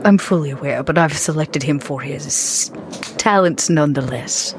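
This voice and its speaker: A woman's voice: deep voice, moderate pace, slight background noise. A woman playing a cold, regal, century-old vampire with a clipped, formal and imperious manner in a tabletop game. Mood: cool, controlled.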